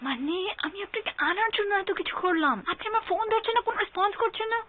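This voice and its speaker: Clingy young bangladeshi woman, dramatic and begging, high voice, thin phone-like sound. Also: lively intonation, quick pace. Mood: pleading. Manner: pleading, dramatic, mixes in English. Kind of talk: TV drama acting.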